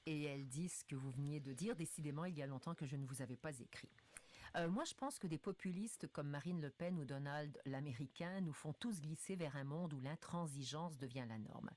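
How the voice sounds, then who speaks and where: Very low-pitched woman on a radio panel. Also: a little mellow, dry recording. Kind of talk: conversational discussion. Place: radio studio.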